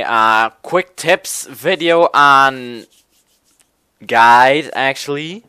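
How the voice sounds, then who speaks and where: A young man from Belgium, a native Dutch speaker with a Belgian Dutch accent, giving gaming video tips in a casual, halting way with many restarts. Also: mid-range voice, pushed and bright, clean tone, no room sound. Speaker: gaming channel host. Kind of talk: casual tutorial commentary.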